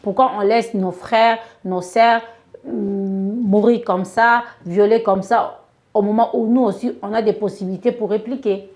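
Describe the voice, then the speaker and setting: Woman hosting an interview, mellow voice, asks pointed questions. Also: medium pitch, fairly even intonation, unhurried. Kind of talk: political interview.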